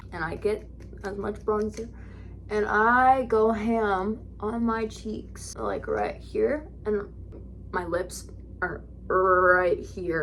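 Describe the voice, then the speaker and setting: Raspy girl doing a chill makeup tutorial at home, lots of "like", keeps correcting herself. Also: mid-range voice, background noise. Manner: rambling, self-correcting, lots of "like". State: raspy today. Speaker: vlogger.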